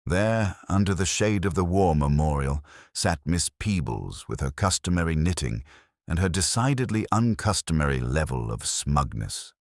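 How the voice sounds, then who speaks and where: A man reading scripted mystery fiction as an audiobook narrator, with a measured, literary and dryly wry delivery. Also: rumbling low, lively intonation, no echo, clean studio sound.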